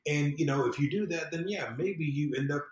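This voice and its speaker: A man's voice: fairly high pitch, a little fast. A man chatting as a podcast guest, earnest and emphatic, thinking aloud and repeating phrases as he goes. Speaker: podcast guest, civic empowerment consultant. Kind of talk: casual conversational interview. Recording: echoey room.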